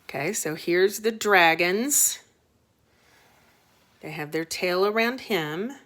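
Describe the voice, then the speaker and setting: A woman teacher reading a storybook aloud to children, expressive and dramatic, with short explanatory asides. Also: fairly low pitch, takes it slowly.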